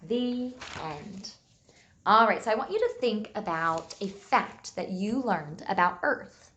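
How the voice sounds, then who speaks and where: A woman teacher reading aloud and giving a simple lesson to young children, enunciating key words deliberately. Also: medium pitch, very animated, fairly bright tone, slight background noise. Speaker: early elementary school teacher. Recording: echoey room sound.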